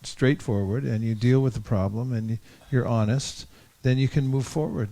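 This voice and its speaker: A man leading a reflective group discussion in a thoughtful, halting way, often correcting himself as he goes. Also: mid-range voice, warm tone. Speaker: discussion leader at a scripture class.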